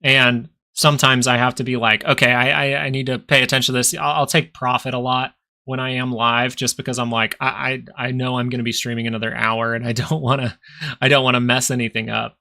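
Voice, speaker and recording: Man, nasal, bright tone, mid pitch, young adult, rambling livestream market commentary. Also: flat delivery, fairly quick, gravelly. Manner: casual, rambling, thinks aloud with frequent restarts. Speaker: stock market livestream host. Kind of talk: live market commentary and chat with viewers.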